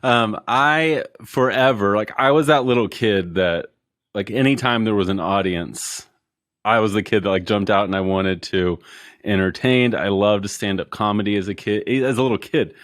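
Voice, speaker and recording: A man with a deep voice, a guest on a podcast, talking in an easygoing, jokey and self-deprecating way. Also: moderate pace, fairly bright tone, no room sound, clean studio sound. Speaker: podcast guest, retail consultant. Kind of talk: casual interview chat. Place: Houston.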